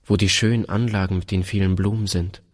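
A man doing a gentle, explaining mother. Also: deep voice, little pitch movement, fairly quick. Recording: long hall reverb.

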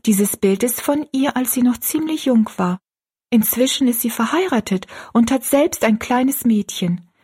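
A woman voicing a timid, earnest little orphan girl in an audiobook story, with a soft, breathy voice. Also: on the high side, animated, a little slow, pitched above the performer's own voice. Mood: earnest, confiding. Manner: fearful, earnest.

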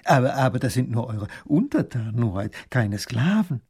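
A man voicing an obsequious ship captain who flatters with flowery courtly phrases. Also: mid-range voice, moderate pace, slightly rough. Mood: fawning.

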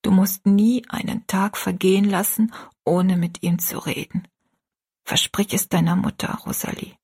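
A woman voicing a gravely ill, gentle and pious mother in a story, half-whispered, slow and earnest. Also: mid-range voice, steady tone. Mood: tender, weak.

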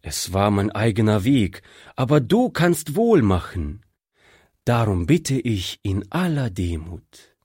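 Slow husky male storyteller. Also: fairly low pitch, big pitch swings, a little mellow, no echo, studio quality. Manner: slow, measured, devout storytelling. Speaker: narrator. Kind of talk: scripted audiobook narration.

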